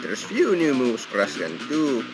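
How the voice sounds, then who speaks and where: A man's voice: medium pitch, wildly swooping pitch, a little slow. A man giving casual, explanatory let's play commentary as a gaming host, with non-native phrasing. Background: video game music.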